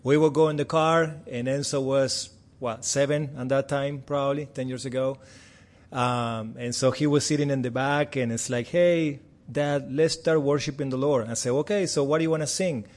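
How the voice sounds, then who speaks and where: A man's voice: medium pitch, steady tone, moderate pace. A man in his forties from Argentina with an Argentine Spanish accent, a native Spanish speaker giving a friendly, chatty missions report to a church with light self-deprecating humor.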